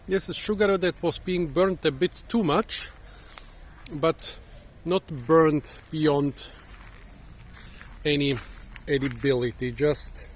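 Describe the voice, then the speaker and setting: A hoarse man, musing and halting as he thinks aloud while hosting a casual fruit-tasting vlog outdoors in a garden, recorded on a phone. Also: fairly high pitch. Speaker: fruit channel host. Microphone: phone camera.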